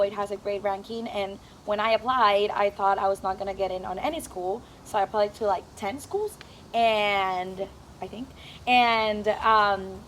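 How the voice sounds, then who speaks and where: A young woman tells her story casually, pausing often on "um". Her voice is mid-pitched, with a light hum of noise in the room. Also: poor audio. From Puerto Rico. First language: Spanish. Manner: relaxed, storytelling, with hesitant "um"s. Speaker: veterinary student. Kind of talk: casual group interview.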